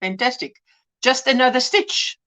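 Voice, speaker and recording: A woman's voice: sits high, very animated, normal speed, pushed and bright. A woman hosting a casual crochet livestream, chatty and greeting viewers by name, with a mixed English and Afrikaans home language. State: drowsy from pain tablets. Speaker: livestream host.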